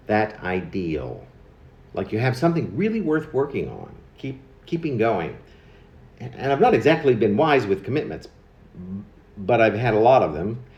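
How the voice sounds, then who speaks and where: A man's voice: lowish voice, darkish tone, roomy sound, dull audio. An American man in his late fifties reading his own rambling autobiographical poem in a wry, digressive manner, often repeating words. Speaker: poet reading own work.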